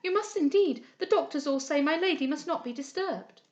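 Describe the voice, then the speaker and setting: A woman's voice: high voice, lively intonation, brisk, pitched above the performer's own voice. A woman voicing a maid who insistently urges a visitor to leave. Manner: urging, insistent. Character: female maid, firm and anxious.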